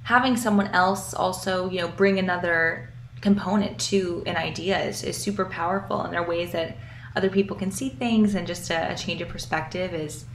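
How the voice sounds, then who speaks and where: Upbeat New York songwriter, echoey room. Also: female voice, on the low side, fairly even intonation, normal speed. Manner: upbeat, enthusiastic, repeats words as she starts. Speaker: singer-songwriter guest. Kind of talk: casual interview answers. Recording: echoey room, faint background noise.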